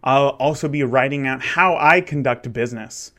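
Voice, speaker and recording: A man's voice: mid-range voice, moderate pace, pushed and bright. Candid thirty-year-old man rambling. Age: 30s. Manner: candid and rambling, with frequent restarts and fillers. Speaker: wedding photographer and podcast host. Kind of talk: casual solo monologue.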